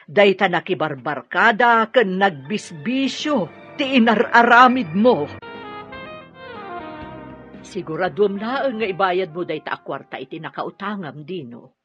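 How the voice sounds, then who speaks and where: A woman's voice: fairly low pitch, lively intonation, a little slow, a bit bright, faint music behind. A woman with a Filipino accent acting a nagging, responsible elder sister in an Ilocano radio drama. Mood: scolding. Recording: dry, dull lo-fi radio drama audio.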